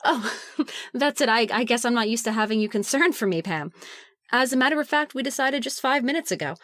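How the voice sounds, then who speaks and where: Casting: actress for unsuspecting wife, radio thriller. Fairly high female voice, dry delivery, dead acoustic. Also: lively intonation, fairly quick, a bit bright, dry recording. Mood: cool, dry. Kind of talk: old-time radio thriller acting.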